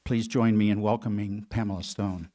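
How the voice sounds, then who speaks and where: Low-voiced man introducing a speaker. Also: fairly even intonation, heavy background noise. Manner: measured, reads a prepared bio. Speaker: conference moderator. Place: large lecture auditorium. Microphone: podium microphone.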